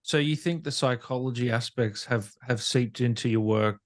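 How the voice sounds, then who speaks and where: A man's voice: mid-range voice, moderate pace. A man hosting an interview, asking questions in a conversational, attentive way and feeling out his phrasing. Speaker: interviewer, podcast host.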